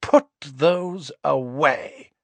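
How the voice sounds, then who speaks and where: A man voicing a cold, haughty aristocratic mother who speaks slowly and with menace. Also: fairly high pitch, gravelly, breathy edge, no room sound, pitched above the performer's own voice, full character voice. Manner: slow, icy and menacing.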